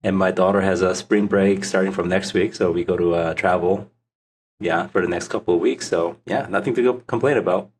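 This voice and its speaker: Low-voiced Korean-accented man in conversation. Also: fairly even intonation, a little fast, slight background noise. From South Korea. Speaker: podcast guest, startup founder. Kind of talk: casual interview answers.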